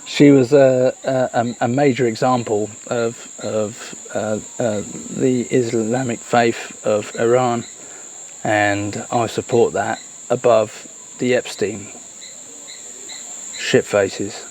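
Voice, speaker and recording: A person giving a casual, reflective monologue, speaking earnestly and haltingly with repeated words. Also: medium pitch, level tone, darkish tone. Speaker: host of a solo talk. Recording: noisy, with chirping insects behind.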